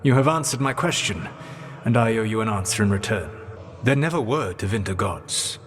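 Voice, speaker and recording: An actor playing a grave, regretful ancient elven god in a video game, speaking in a measured, formal, solemn way. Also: male voice, on the low side, lively intonation, husky. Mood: grave, explaining. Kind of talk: dramatic video game character dialogue. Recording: long echoing reverb on the voice.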